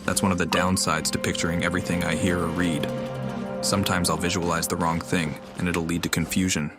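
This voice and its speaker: A man giving casual, low-key, matter-of-fact gameplay commentary as the host of a gaming video. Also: little pitch movement, brisk. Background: game music.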